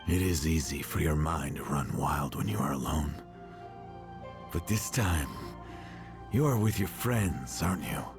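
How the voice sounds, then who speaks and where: An actor playing a gentle, weary old man who speaks softly and kindly in a fantasy game. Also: very deep voice, expressive, over music. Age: older. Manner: slow, soft-spoken, kindly. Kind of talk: game voice acting.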